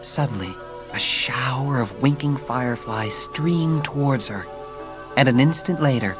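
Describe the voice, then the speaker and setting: A woman's voice: very deep voice, unhurried, low-quality recording. A woman reading a children's story as a scripted, dramatic audiobook narration. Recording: music under the narration. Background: music.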